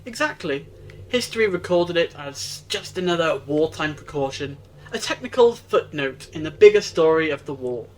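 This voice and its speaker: A performer playing a wise, gently wry time traveller in an audio drama, speaking in a measured, reflective way. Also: mid-range voice, animated, natural voice, rough recording. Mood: explaining, assured. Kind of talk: fan-made audio drama acting.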